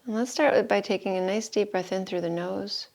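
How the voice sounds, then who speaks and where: A woman yoga teacher giving guided meditation and breathing instructions in an unhurried, soothing manner with long pauses. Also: medium pitch, gravelly, a little mellow. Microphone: live video call stream.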